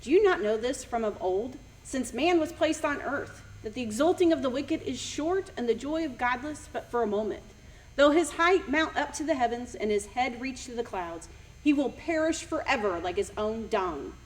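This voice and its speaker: A woman's voice: sits high, expressive, moderate pace. A woman playing an offended, stern friend warning of judgment in an amateur church Bible drama, reciting declamatorily. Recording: distant from microphone, faint noise.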